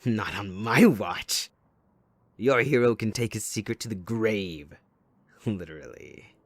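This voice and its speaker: A man playing a sadistic, possessive supervillain kidnapper in an audio roleplay, taunting theatrically and mock-sweetly, moving between hushed menace and outbursts. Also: on the low side, expressive, slow, no room sound. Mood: mock-mournful, taunting. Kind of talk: dramatic audio roleplay monologue.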